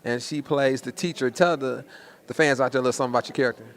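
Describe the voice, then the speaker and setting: A man's voice: medium pitch, steady tone, very fast. An American man doing casual promotional interviews in a slangy, hyped, conversational way.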